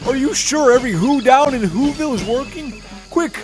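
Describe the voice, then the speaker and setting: A performer playing a gentle, protective male elephant in a children's audio drama, sincere and pleading. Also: high-pitched, expressive, normal speed, low-quality recording. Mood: urgent, encouraging. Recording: music behind the voice.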